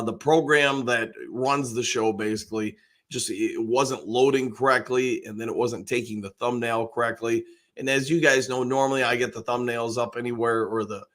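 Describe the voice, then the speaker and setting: Hoarse, folksy older man. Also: medium pitch, pushed and bright. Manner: rambling, folksy, lots of fillers. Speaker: host. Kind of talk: casual live-stream chat.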